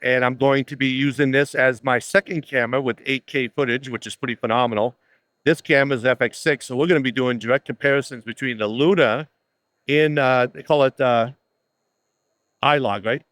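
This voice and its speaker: A man with a loud voice, chatting casually as a video creator interviewing at a trade show. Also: medium pitch, steady tone, no echo. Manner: loud, chatty, asks quick questions. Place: busy trade show floor.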